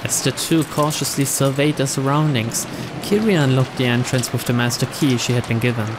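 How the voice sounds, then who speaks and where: Guy narrating a visual novel, even steady read, lots of rain noise. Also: mid-range voice, a little fast.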